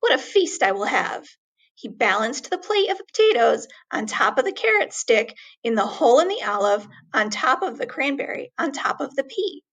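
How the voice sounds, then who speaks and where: Animated, high-voiced woman reading a children's story. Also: moderate pace, hardly any pauses. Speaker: teacher reading a storybook aloud.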